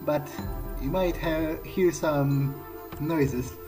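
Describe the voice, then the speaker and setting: A man's voice: fairly high pitch, fairly even intonation, very slow. A man roleplaying in character as he plays a video game, musing to himself in a hesitant, conversational way. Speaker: let's player. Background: game soundtrack music.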